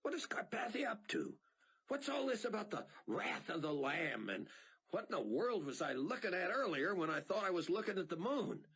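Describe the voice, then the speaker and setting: A man's voice: high voice, sing-song, moderate pace, fairly bright tone, husky, pitched above the performer's own voice, full character voice. A man voicing a blunt, plainspoken middle-aged pilot with folksy talk. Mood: bewildered.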